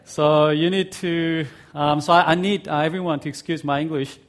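A middle-aged man preaching a sermon, his English carrying a blend of Korean, Australian and American accents. His voice sits a little high, and he talks in a friendly, halting way, with frequent ums and uhs. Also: fairly even intonation. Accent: mix of Korean, Australian and American. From South Korea. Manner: friendly, halting, many fillers. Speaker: guest pastor.

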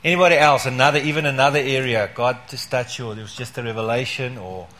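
A man's voice: mid-range voice, quick pace, bright, forceful tone. Man, church speaker, inviting testimonies, encouraging, faint background noise. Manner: encouraging, repeats praise words. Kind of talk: casual church meeting talk.

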